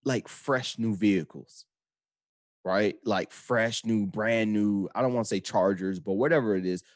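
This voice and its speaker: A man's voice: lowish voice, unhurried, dry recording, studio quality. A Trinidad-born man hosting a casual talk show, loose and talkative with slang. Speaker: host. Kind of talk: casual radio chat.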